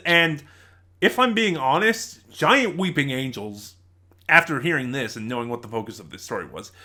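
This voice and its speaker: A man giving an unscripted, opinionated review to his viewers as an online reviewer, talking emphatically with halting stops and repeated phrases. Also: mid-range voice, bright, forceful tone. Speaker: YouTube audio drama reviewer.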